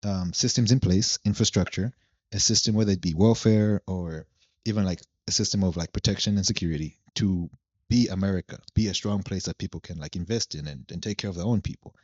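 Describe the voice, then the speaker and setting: A young man from Zambia, a podcast guest, explaining his background at length in a relaxed chat. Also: sits low, fairly quick, no echo, lo-fi sound. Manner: relaxed storytelling, long explanations. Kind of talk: casual chat.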